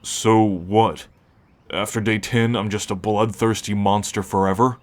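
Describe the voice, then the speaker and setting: A man's voice: fairly low pitch, fairly even intonation, lightly acted. A man playing an anxious, confused, newly bitten werewolf held in a cage, speaking hesitantly in an ASMR roleplay. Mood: uneasy. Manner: hesitant, halting.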